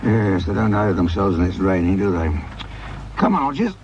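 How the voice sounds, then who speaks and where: An actor playing a wry, middle-aged English police sergeant with a gravelly voice, grumbling and dry-humoured in a radio drama. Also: male voice, low-pitched, sing-song, moderate pace, lo-fi sound, noisy background. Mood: sardonic.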